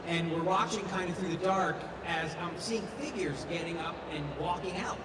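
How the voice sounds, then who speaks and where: A man's voice: high voice, fairly even intonation, moderate pace. A man talking emphatically as a convention panelist, repeating phrases for stress. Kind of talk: animated convention panel talk. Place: large convention hall panel. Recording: echoey hall, distant, noisy PA sound.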